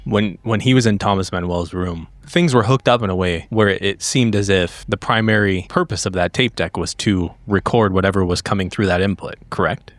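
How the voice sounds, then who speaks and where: A man's voice: sits low, normal speed, hardly any pauses, no echo. A man playing a tabletop game, narrating his character's actions in the third person in a conversational way.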